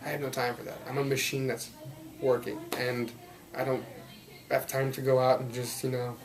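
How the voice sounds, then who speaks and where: A man's voice: mid-range voice, flat delivery, moderate pace, crowd noise. Upbeat young man, rambling chat. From Belgium and Los Angeles. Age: 20s. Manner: upbeat, rambling, laughs often. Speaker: actor guest. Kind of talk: casual interview talk.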